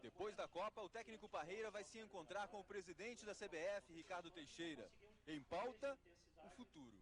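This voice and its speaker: High-voiced Brazilian male sports reporter. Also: expressive, moderate pace. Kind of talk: scripted news report narration.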